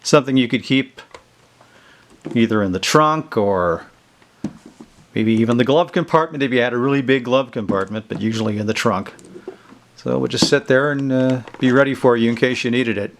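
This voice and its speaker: A man's voice: mid-range voice, normal speed, slight background noise. A man hosting a casual product demonstration video, explaining things in a folksy, informal way. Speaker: video host showing vintage electronics. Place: indoors in a basement.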